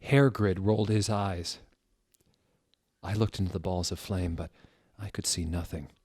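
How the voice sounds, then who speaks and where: A man's voice: low-pitched, fairly even intonation, unhurried, darkish tone, dry recording, clean studio sound. A man doing a mock-dramatic comedic reading of fan fiction, theatrical and sardonic, breaking off for asides. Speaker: reader.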